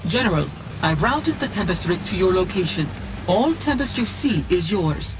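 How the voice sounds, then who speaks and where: An actor playing a brisk, loyal military officer briefing a general in a strategy game, clipped and businesslike. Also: male voice, sits high, sing-song. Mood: urgent. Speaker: military adviser briefing the player. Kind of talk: scripted game mission briefing. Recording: game music behind the voice.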